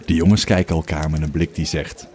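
A man narrating a scripted story in a measured storytelling manner. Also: very deep voice, steady tone, darkish tone.

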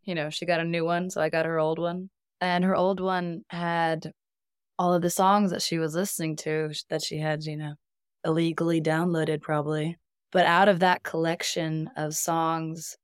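A young woman from Iceland, a band's singer, chats in an interview with a fairly low, smooth and resonant voice. She tells her stories at a reflective pace and laughs easily. The sound is dry and close. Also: no room sound. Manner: reflective, laughs often, storytelling. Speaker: band singer, guest. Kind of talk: casual interview chat.

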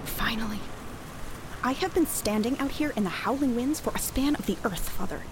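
A woman's voice: fairly high pitch, lively intonation, moderate pace, background noise, natural voice. An actress playing a brusque, impatient, foul-mouthed colonial New England woman in a period audio drama, blunt and urgent.

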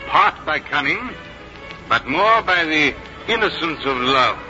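A suave British army major who flirts and schemes in a 1950s radio drama. He is courtly and theatrical, pitched a little high. The sound is muffled, with music behind. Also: lively intonation, slow pace. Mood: scheming.

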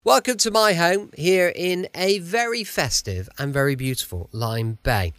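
A British-accented man introduces his podcast in a chatty style. His voice sits slightly high and moves in lively swings. The recording is close and dry. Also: measured pace, no echo. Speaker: podcast host.

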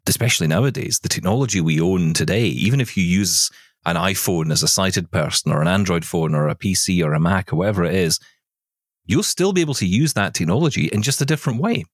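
A man's voice: low-pitched, expressive, fast talker, no room sound, clean studio sound. A man hosting a conversational interview, speaking emphatically and repeating phrases to stress his point. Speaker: show host interviewer.

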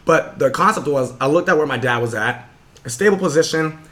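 Young dude rambling fast about motivation, casual podcast chat. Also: male voice, fairly high pitch, lively intonation, a bit bright. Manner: fast, earnest, full of fillers. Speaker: podcast host.

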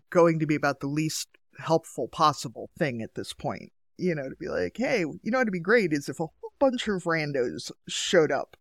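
A man's voice: fairly high pitch, no room sound, clean studio sound. A man co-hosting a podcast in casual chat, joking and talkative. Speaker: podcast co-host.

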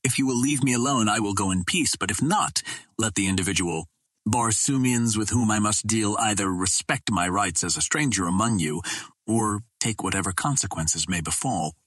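A man voicing a gallant, proud Earthman captain in an adventure storybook, formal, courtly and firm, with a husky voice. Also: fairly low pitch, normal speed, fairly bright tone, dry recording, studio quality. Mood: defiant.

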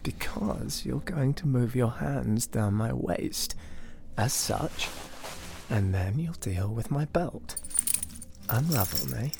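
Haughty fantasy nobleman, gravelly and animated. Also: mid-range voice, takes it slowly, background noise. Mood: seductive, commanding.

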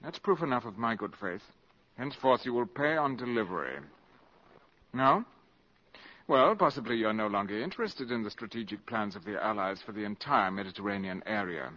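Cynical, polished wartime spy for old radio play; crisp formal wording, swooping intonation, dulled broadcast sound. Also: male voice, fairly low pitch, animated, normal speed, sharp tone. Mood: coolly insistent. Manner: urbane, precise, sardonic, formal diction. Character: suave, cynical, mercenary valet turned wartime spy. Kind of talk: 1950s radio drama acting. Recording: dull 1950s radio broadcast recording.

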